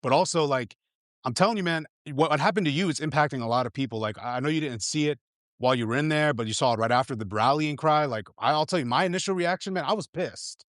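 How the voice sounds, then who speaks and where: A man appearing as a journalist guest, speaking in an animated, emphatic way in casual conversation. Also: on the high side, fast, no room sound, studio quality.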